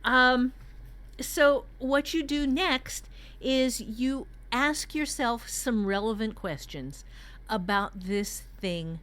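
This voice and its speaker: A woman's voice: a little high, slow, a bit bright. A woman teaching a writing workshop in a conversational way, candid and joking as she explains. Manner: candid, jokey, mildly profane.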